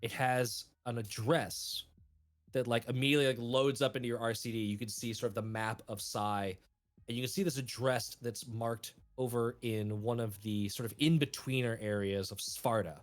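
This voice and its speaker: A man's voice: mid-range voice, steady tone, moderate pace. A man running a tabletop roleplaying game as game master, narrating in a loose way with lots of fillers and laughs.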